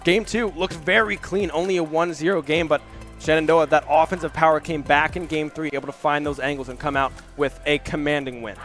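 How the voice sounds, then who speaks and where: A young man commentates a college esports match in a fairly high voice that has gone hoarse over a long weekend. He talks fast, hedges with "I mean" and keeps checking in with "right?". Faint game music plays behind him. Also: steady tone, fairly bright tone. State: hoarse, losing his voice. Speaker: esports caster. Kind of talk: play-by-play commentary.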